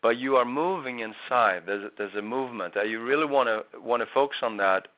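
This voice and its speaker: A man's voice: mid-range voice, brisk. Patient male voice coach on a tinny line. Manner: patient, explains step by step. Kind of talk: one-on-one singing lesson. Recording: tinny call line, faint hiss.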